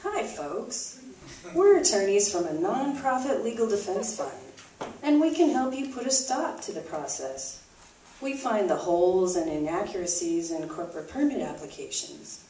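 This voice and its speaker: A woman's voice: medium pitch, sing-song, moderate pace, mellow, distant mic, natural voice. A polite, matter-of-fact legal advocate, played by a woman explains things helpfully in a homemade puppet show. The voice sits off the microphone in an echoing room with some faint noise. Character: helpful, matter-of-fact nonprofit attorneys advising locals.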